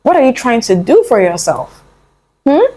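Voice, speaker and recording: A woman's voice: fairly high pitch, wildly swooping pitch, normal speed, mellow, slightly rough. Young adult woman, motivational vlogger; coaxing, sisterly, loose and rambling delivery. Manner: earnest, coaxing, calls the listener "my love" and "honey"; rambling with frequent fillers and restarts. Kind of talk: casual motivational talk to camera.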